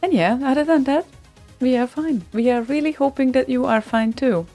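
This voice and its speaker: Creaky-voiced chatty woman radio host. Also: high-pitched, normal speed, mellow, faint music behind. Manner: chatty, rambling with many fillers and restarts. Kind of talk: casual radio chat. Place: radio studio.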